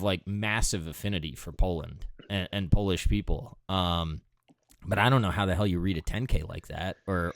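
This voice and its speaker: A male podcast host with a very deep voice, chatting loosely and joking, full of fillers. The sound is dry and close, with no room around it. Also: dry recording. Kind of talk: casual conversational interview.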